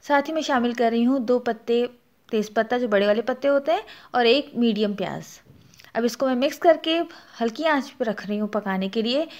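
A woman with a Pakistani Urdu accent hosting a cooking channel, narrating a recipe step by step and adding practical tips. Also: fairly high pitch. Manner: explanatory, instructive, gives practical tips.

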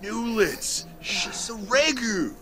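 Gibberish-babbling game sims. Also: female voice, on the high side, lively intonation, slow, loud music behind the voice. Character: babbling gibberish-speaking life-sim characters.

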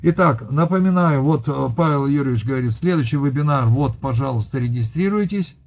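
A man's voice: medium pitch, lively intonation, fairly quick. A middle-aged man hosting a webinar from a desk on a video call, lecturing with many fillers and restarts, and reading chat questions aloud to answer them. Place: indoor room, at a desk. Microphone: webcam on a video call.

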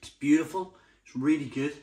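A man's voice: a little high, brisk, mellow. A man hosting a review channel, talking casually and unscripted to camera, savouring and repeating his descriptive words with enthusiasm. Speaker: beer review channel host.

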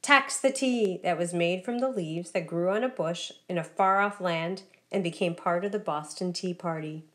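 A woman reading a children's story aloud as scripted narration, in a deliberate, rhythmic recitation. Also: lowish voice. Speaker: storybook reader.

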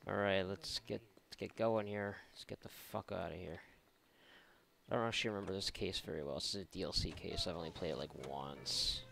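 A man's voice: low voice, fairly quick, vocal fry, a bit bright. A man giving casual let's play commentary, joking, rambling and swearing freely. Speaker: gaming video host.

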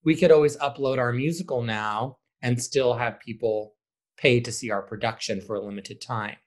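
A man's voice: medium pitch, normal speed. A man speaking as a podcast guest and theater director, giving casual, conversational answers.

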